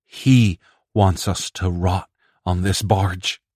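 A man voicing a pathetic, desperate male castaway who talks in a whiny, ingratiating tone and breaks into shrill screams. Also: steady tone, slow, a little mellow, no echo, clean studio sound. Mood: wheedling. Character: pathetic male spaceship castaway, whining then threatening.

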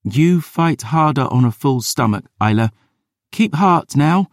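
A man voicing a fierce young girl, earnest and rallying, with a sharp tongue. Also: mid-range voice, lively intonation, measured pace, no room sound, clean studio sound, pitched above the performer's own voice.

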